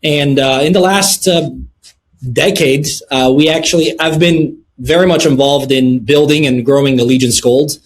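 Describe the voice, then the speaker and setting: Middle-aged man, guest in an interview, measured delivery, frequent fillers, voice sits a bit high. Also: fairly even intonation. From Lebanon. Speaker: guest, precious metals dealer. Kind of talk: interview answers.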